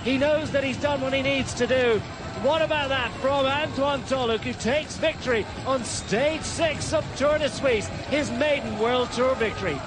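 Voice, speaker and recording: A man giving live cycling race commentary, excited. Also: very high-pitched, unhurried, noisy background.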